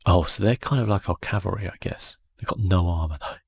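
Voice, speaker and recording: A man speaking softly and casually, thinking aloud as he gives ASMR commentary on a video game. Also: low voice, a little fast, creaky, husky. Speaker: gaming channel host.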